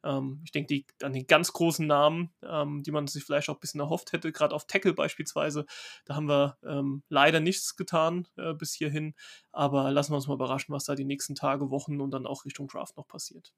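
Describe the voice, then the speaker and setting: Slightly high-voiced male podcast host. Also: brisk, vocal fry. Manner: relaxed, frequent fillers, thinking aloud. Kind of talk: casual podcast chat.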